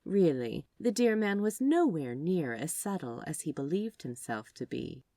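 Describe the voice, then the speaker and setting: A woman reading fan fiction aloud as scripted, expressive storybook narration. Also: low-pitched, a little slow, no room sound. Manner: expressive read-aloud delivery. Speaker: podfic narrator.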